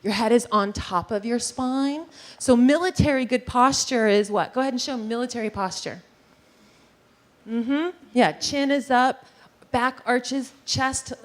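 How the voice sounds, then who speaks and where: A woman giving a lively presentation on posture and breathing to a live audience in a meeting room, guiding them through movements. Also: on the high side. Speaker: posture and breathing teacher giving a workplace talk.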